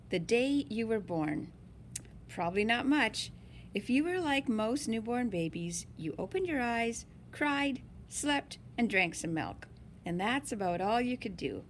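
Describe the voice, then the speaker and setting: A woman reading a picture book aloud in an expressive, deliberate way for young listeners. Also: mid-range voice, a little hiss or hum. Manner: slow, deliberate, animated phrasing for young listeners. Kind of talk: expressive children's read-aloud.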